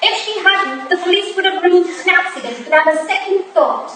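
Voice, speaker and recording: A woman's voice: very high voice, fairly quick, pushed and bright. A woman playing an earnest, questioning young protégée detective in a staged reading of a period play. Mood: puzzled, earnest. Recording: very echoey hall, distant mic, hiss.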